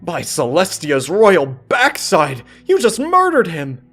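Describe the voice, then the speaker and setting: Man playing an excitable pony guard. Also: on the high side, takes it slowly, husky, soft background music, pitched above the performer's own voice, full character voice. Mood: shocked. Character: excitable, agreeable male pony royal guard.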